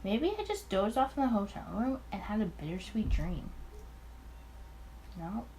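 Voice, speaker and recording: A woman's voice: mid-range voice, very animated, unhurried, mellow, a little hiss or hum, low-quality recording. A woman reading the first-person thoughts of an anxious, smitten young male detective in a visual novel. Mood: anxious, brooding.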